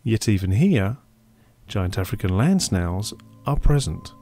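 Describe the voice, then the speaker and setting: A man giving scripted narration for a public information film in a measured, informative way. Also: on the low side, very animated, mellow. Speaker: documentary narrator.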